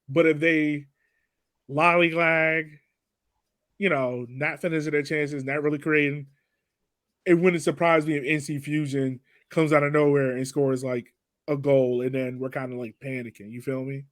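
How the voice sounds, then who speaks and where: A man with a slightly high voice hosts a casual sports chat. He talks loosely and informally, with everyday slang. The sound is very dry, with little room in it. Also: fairly even intonation, moderate pace, fairly bright tone, no room sound. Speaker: podcast host.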